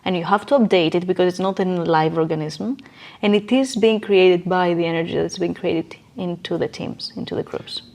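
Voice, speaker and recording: A woman's voice: on the low side, fairly even intonation, moderate pace, creaky, darkish tone. Earnest woman explaining as podcast guest. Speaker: podcast guest, business coach. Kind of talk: reflective conversational interview.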